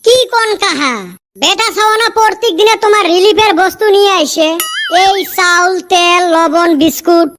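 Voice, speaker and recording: A man's voice: very high voice, normal speed, noisy background. A man voicing a grumbling village man in a cartoon, speaking a northern Bengal village dialect and cursing often. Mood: indignant. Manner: cursing, complaining, lively rural banter. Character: squeaky-voiced village man, grumbling and quick to curse. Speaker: cartoon character.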